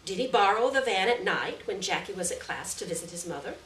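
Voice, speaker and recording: A woman reading a literary short story aloud at a live event, with measured, deliberate phrasing and stressed words. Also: medium pitch, animated, a bit bright. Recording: distant roomy live recording, faint noise.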